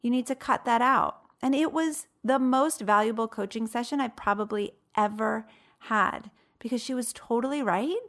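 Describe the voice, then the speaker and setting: A woman's voice: fairly high pitch, fairly bright tone. A woman in her late thirties speaking as a coach and host, giving a motivational talk in an emphatic, conversational way with rhetorical questions. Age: late 30s.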